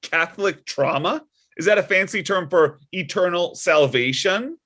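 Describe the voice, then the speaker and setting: A man playing a prim, pious older dad, high-pitched. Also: moderate pace, bright, forceful tone. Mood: wounded, prim. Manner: sanctimonious, sugary jabs. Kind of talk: comedic script table read.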